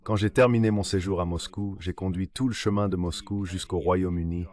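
A synthetic male voice with a low pitch reads a translated interview. It serves as the dubbed voice of a guest, delivering the answers as scripted voice-over. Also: steady tone, fairly quick, a little mellow.

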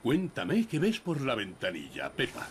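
A woman voicing a patient, kindly daddy pig in a dubbed cartoon, gently explaining. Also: extremely low pitch, huge pitch swings, a little slow, heavy background noise, exaggerated voice. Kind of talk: cartoon dubbing.

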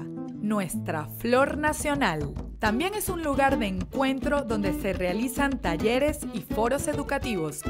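A woman giving scripted voice-over narration for a travel show. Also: on the high side.

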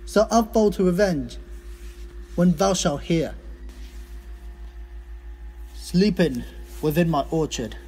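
A man's voice: sits high, lively intonation, slow pace, pauses often, dark tone, husky, exaggerated voice. A man playing the solemn, aggrieved ghost of a murdered king, declaiming formal verse in an audio drama. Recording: long cavernous reverb.

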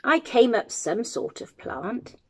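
A woman's voice: fairly high pitch, sing-song, slow pace, pitched above the performer's own voice, heavily acted. A woman voicing a polite, helpful young boy in a fairy tale, with a light, earnest way of explaining.

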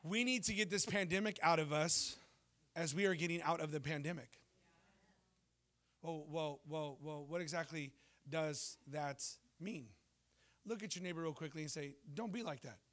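A man in his thirties preaching a sermon on a church stage into a handheld mic, telling lively stories and asking the congregation questions. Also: a little high, normal speed. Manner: animated storytelling preacher, jokes and asks the crowd questions. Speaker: pastor.

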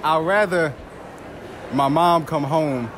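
A man's voice: fairly high pitch, takes it slowly, noisy background. A young man answering a street interview in a mall, insistent and talking over others. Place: shopping mall indoors.

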